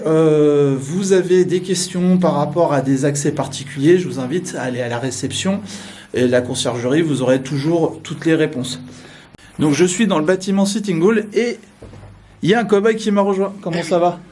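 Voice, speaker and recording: A man's voice: fairly high pitch, a little mellow, a little hiss or hum. Chatty man giving a walking tour. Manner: chatty, friendly, many filler sounds. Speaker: vlogger touring a hotel. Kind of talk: casual walkthrough commentary. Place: indoors in a hotel, walking through corridors and a room.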